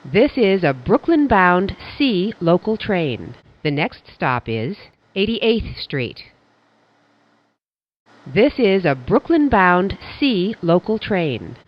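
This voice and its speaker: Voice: mid-range voice, big pitch swings, slow, muffled recording. A subway train announcer reading scripted transit announcements in formal, clipped stock phrases.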